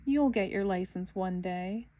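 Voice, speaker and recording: A woman voicing a weary, anxious female cartoon boating-school teacher in a storybook, with a hesitant, measured delivery. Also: medium pitch, dark tone, slight background noise, lightly acted. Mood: consoling.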